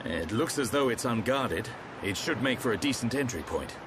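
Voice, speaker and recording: An actor playing a resolute, composed warrior leader in a video game, grave and commanding. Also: male voice, medium pitch, animated, moderate pace, background noise, close to an everyday voice. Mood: wary. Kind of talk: video game cutscene acting. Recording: music under the voice.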